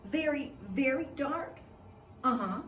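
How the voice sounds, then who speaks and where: An excitable storybook boy full of schemes , played by a woman reading aloud, drawing out words with relish. The pitch leaps around in an echoey room. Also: a little hiss or hum, exaggerated voice. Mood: eager. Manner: emphatic, drawn-out listing with relish. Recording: echoey room, distant mic.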